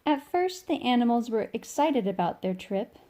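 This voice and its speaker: A woman reading a children's picture book aloud as an informal, expressive storyteller who stretches key words for young listeners. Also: a little high, moderate pace. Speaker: read-along storyteller.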